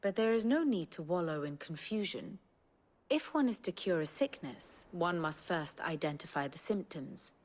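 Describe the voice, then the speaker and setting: A woman playing a composed, wry scholarly strategist in a video game, speaking in a measured, eloquent, theatrical way. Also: lowish voice, lively intonation, a little mellow. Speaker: strategist and lorekeeper. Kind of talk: dramatic video game acting, lecturing. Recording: music behind the voice.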